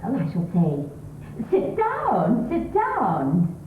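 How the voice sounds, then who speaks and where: A woman's voice: medium pitch, huge pitch swings, extremely slow, full character voice. A woman acting a chattering, anxious middle-class English wife in a stage comedy, gushing and needling her husband. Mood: flustered. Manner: gushing, exclamatory, posh. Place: theatre stage. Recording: echoey stage recording, tinny, hissy.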